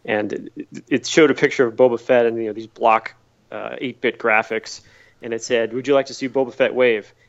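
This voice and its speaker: Middle-aged man, gravelly voice, relaxed storytelling, dull lo-fi recording. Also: medium pitch, moderate pace. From Massachusetts, US. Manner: relaxed storytelling, repeats words while thinking. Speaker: podcast guest. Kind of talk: conversational interview answers.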